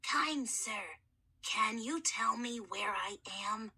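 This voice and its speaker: A woman voicing an ancient boy mummy who is timid and formal, with a hoarse voice and courtly, soft-spoken manner. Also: on the high side, expressive, slow, pitched below the performer's own voice, full character voice. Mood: timid, polite. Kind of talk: audiobook reading.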